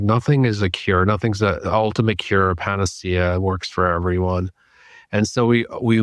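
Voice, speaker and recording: A man speaking as a podcast guest, giving measured, thoughtful answers and repeating points for emphasis. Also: on the low side, no echo, clean studio sound. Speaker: podcast guest, psychedelic therapy clinician. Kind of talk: reflective interview talk.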